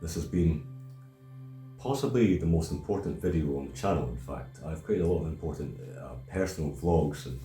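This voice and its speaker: A man around thirty with a very low, mellow voice hosts a reflective chat. He speaks haltingly and thoughtfully in a roomy, echoing space. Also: animated. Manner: halting, thoughtful, repeats words. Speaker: video host. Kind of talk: reflective casual discussion. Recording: roomy echo, faint music.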